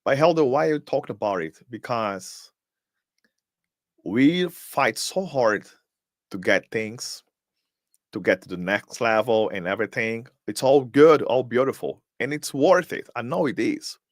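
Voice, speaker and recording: A man's voice: mid-range voice, expressive, measured pace, dry recording, studio quality. A man whose first language is Portuguese gives a live-streamed motivational talk as host. He is emphatic, fires off rhetorical questions, and repeats words as he searches for them.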